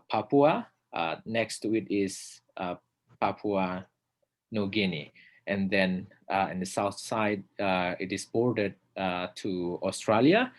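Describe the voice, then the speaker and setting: A man in his thirties from North Sumatra with an Indonesian accent, giving a relaxed slide presentation as a pastor, pausing often on "uh" and laughing softly. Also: on the low side. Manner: hesitant, many "uh" fillers, soft laughs. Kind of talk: informal lecture with slides.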